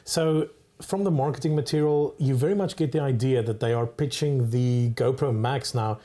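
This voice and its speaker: A man in his thirties co-hosting a relaxed tech chat in a studio on a boom mic, with many fillers. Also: moderate pace. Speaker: co-host. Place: studio set with a table. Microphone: boom mic on an arm.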